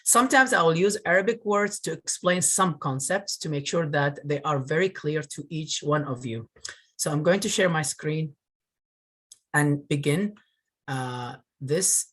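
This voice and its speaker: A man's voice: fairly high pitch, a little slow, fairly bright tone. Earnest man presenting a webinar. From northern Iraq. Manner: earnest, grateful, many fillers. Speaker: webinar presenter, career coach. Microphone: video call.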